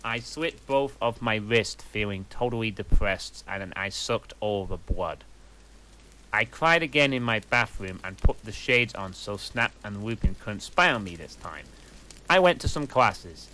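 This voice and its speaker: A man reading a fanfic aloud in a deadpan way, with sarcastic asides. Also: fairly low pitch, a little slow, a little hiss or hum, rough recording. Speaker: reader.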